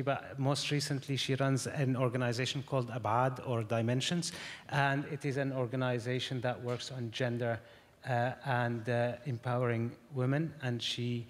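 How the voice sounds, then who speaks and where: Hesitant man moderating a panel. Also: mid-range voice. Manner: hesitant, many fillers. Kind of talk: introducing panelists. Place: large conference hall. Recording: reverberant hall with audience.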